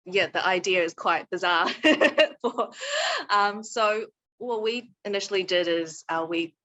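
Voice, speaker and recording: A woman's voice: mid-range voice, measured pace, bright, forceful tone. A woman, a co-creator and actor, speaking candidly on a panel and laughing often. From New Zealand. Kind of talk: panel talk.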